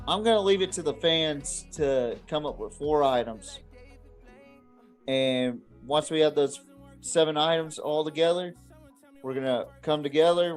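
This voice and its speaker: A man co-hosting a podcast, chatting casually to viewers in a blunt, swearing, rambling way. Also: fairly high pitch. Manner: profane, blunt. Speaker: podcast co-host.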